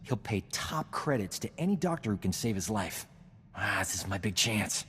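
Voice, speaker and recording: An actor playing a vain, flirtatious, smooth-talking doctor in a video game. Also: male voice, lowish voice, very animated, normal speed, a bit bright, in a large hall, exaggerated voice. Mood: boastful. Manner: cocky, self-admiring, smooth-talking. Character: vain, flirtatious male doctor, boastful charming rogue. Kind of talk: video game cutscene acting.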